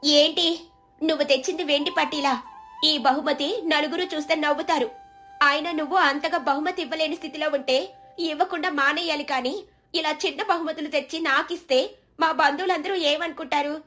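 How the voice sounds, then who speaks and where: A woman plays a haughty married sister in a storybook tale, very high and shrill in pitch. She scolds with irritated scorn, as if everyone beneath her is an embarrassment. Soft music plays behind her. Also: moderate pace. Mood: scornful, irritated.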